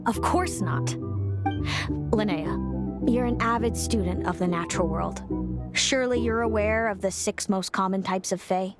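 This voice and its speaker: Game role, actress voicing a guarded, prophetic young woman; slow delivery, cool and weary. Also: mid-range voice, over loud music, lightly acted. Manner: cool, curt, warning, reluctant. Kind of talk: game cutscene voice acting. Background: game score.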